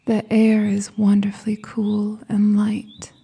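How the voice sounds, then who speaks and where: Very dark, whispery slow woman's voice. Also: medium pitch, monotone. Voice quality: soft, breathy, whispery. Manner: soothing, gentle, deliberate pauses. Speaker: hypnosis and meditation guide. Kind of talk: scripted guided meditation.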